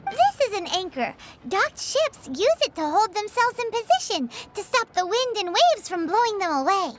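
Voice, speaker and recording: Squeaky, very high-pitched little fairy. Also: female voice, normal speed. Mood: explaining, puzzled. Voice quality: squeaky, childlike. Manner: excitable, speaks of self in third person. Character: tiny childlike female fairy guide companion, chirpy, chatty, food-loving. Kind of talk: animated video game voice acting. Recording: background music under voice.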